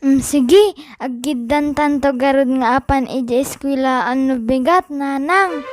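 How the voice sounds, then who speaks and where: A woman's voice: high-pitched, a little slow, faint music behind. An actress playing a polite young schoolchild talking to mother in an Ilocano drama. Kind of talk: Ilocano radio drama acting.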